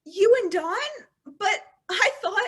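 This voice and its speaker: Distraught housewife, very high voice, slow halting delivery, whiny, insecure, old radio ad melodrama. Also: female voice, fairly bright tone, full character voice. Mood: hesitant. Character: distraught, embarrassed young housewife, insecure and tearful. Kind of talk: 1950s-style radio commercial skit acting.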